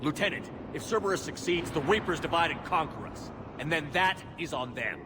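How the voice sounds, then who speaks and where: A man's voice: fairly high pitch, animated, fairly quick, natural voice. A man playing a tough, resolute human navy commander in a sci-fi game, authoritative and clipped. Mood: stern, urging. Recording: clear vehicle and ambient noise behind.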